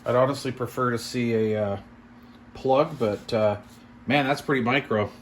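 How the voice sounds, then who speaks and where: A man's voice: mid-range voice, normal speed. A man giving casual unboxing commentary as a reviewer, thinking aloud with mild, impressed exclamations. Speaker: product reviewer. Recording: clear background noise and room ambience.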